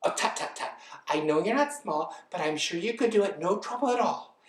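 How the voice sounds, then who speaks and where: A woman's voice: fairly low pitch, lively intonation, fairly quick, echoey room, pitched above the performer's own voice, lightly acted. A woman voicing a lazy female bird who whines, wheedles and pleads in an exaggerated way for a children's story. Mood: coaxing.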